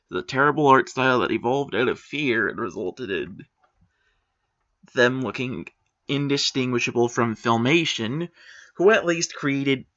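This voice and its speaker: A man's voice: mid-range voice, fairly bright tone, dull audio. A man giving opinionated commentary on a written post, critical and matter-of-fact with sarcastic asides.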